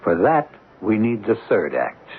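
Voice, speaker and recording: Mystery host: low, gravelly, wry storyteller with theatrical phrasing, over music on a narrow vintage radio recording. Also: male voice, big pitch swings, a little hiss or hum. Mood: wry, portentous. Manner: deliberate, literary, wry. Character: urbane, wry, philosophical male storyteller. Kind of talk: theatrical scripted narration, 1970s radio.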